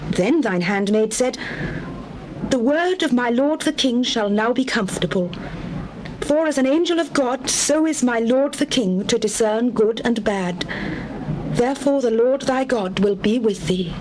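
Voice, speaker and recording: A pleading widow addresses a king in formal, archaic language. Her voice is high and theatrical, the supplication of a mourner in a scripture drama. Faint noise sits behind the recording. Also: lively intonation, measured pace. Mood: earnest deference.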